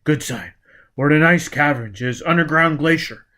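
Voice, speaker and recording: Man playing a gruff, driven escaped convict. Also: on the high side, normal speed. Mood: determined, bossy. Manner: terse, clipped, forceful, uneducated grammar.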